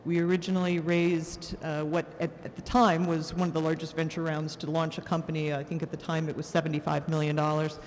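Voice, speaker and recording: A woman, a biotech executive, describing her company in a measured, formal way on a panel. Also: low-pitched, flat delivery, darkish tone. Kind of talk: panel introduction. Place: conference hall. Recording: long hall reverb, faint room noise.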